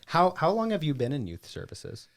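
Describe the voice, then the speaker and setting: A man's voice: a little high, wildly swooping pitch, moderate pace, gravelly. A man with an American accent hosting a casual interview, informal and joking, often at his own expense. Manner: informal, joking, self-deprecating. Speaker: podcast host.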